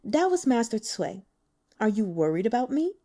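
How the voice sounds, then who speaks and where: A woman's voice: a little high, sing-song, moderate pace, dark tone. Woman voicing a languid, teasing martial-arts man, slightly raised. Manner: needling, questioning. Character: languid, teasing, arrogant male martial artist. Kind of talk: audiobook character dialogue.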